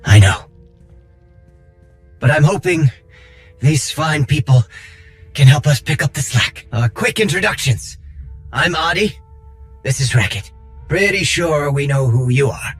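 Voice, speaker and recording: A man playing an earnest, quick-thinking rebel worker in a video game, talking informally and persuasively. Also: on the high side, animated, breathy edge, soft background music. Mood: weary, hopeful. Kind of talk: video game cutscene acting.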